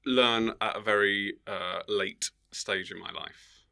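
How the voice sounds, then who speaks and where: A man's voice: fairly low pitch, fairly even intonation, takes it slowly, creaky, pushed and bright. A middle-aged man with a British accent, a comedian guest telling anecdotes casually and jokingly, acting out the remarks he quotes.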